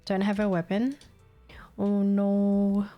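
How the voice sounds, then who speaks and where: A woman's voice: medium pitch, completely flat delivery, takes it slowly, warm tone. Young aussie woman streaming games late at night, sleepy and scatterbrained, giggles a lot, reading chat, faint music behind. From Australia. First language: Vietnamese. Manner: tired, scatterbrained, giggly, reads chat aloud. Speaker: game streamer. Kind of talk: casual live-stream chat while gaming.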